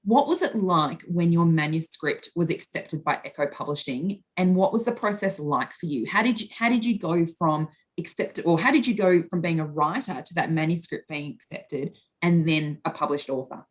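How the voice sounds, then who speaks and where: A woman hosts a library author interview. She asks long, friendly questions in a deep, low voice. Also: moderate pace, hardly any pauses. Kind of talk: conversational interview.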